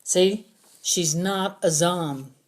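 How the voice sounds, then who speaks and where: A woman's voice: fairly low pitch, drawn-out words. A woman voicing a hot-headed male soldier in an audiobook, argumentative and threatening. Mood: angry.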